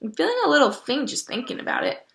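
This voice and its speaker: A woman's voice: fairly high pitch, wildly swooping pitch, quick pace, creaky, fairly bright tone, voice pushed up, full character voice. A woman voicing a fussy, protective mother possum who dotes on her baby in a storybook. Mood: anxious. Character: anxious, doting mother possum.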